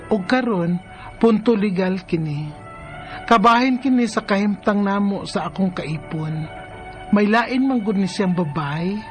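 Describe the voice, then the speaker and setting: A woman's voice: medium pitch, lightly acted. An actress playing a troubled mother of three in her thirties, with a Bisaya Philippine accent, in a radio drama. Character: troubled 33-year-old mother of three, hurt by jealous partner.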